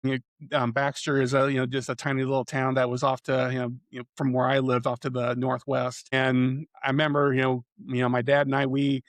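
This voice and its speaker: A man in his fifties from central Iowa talks through his storm chasing as an interview guest. He speaks at a middling pitch and wanders through his answers, leaning on "you know" and restarting his sentences. The recording is dry, with no room sound. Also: monotone, brisk, no echo. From central Iowa, USA. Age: 50s. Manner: rambling, many "you know" fillers and restarts. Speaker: storm chaser and photographer, guest. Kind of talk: casual interview conversation.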